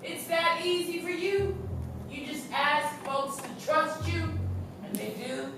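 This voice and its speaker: A woman's voice: high voice, slow. A woman acting a sardonic, proud cyborg outcast who teases scornfully in a stage play. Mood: scornful, teasing. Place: theater stage. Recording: echoey theater, distant mic, music behind.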